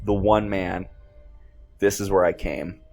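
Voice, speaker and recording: Male film commentary host, enthusiastic and slangy, unhurried pace, faint film score underneath. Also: low-pitched, steady tone, vocal fry, a little mellow. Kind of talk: excited casual film commentary. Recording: music playing behind the voice.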